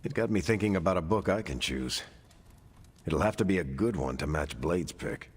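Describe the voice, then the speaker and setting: A man playing an affable, plain-spoken superhero teammate with a deadpan manner in video game cutscene dialogue. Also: sits low, moderate pace, husky. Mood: friendly.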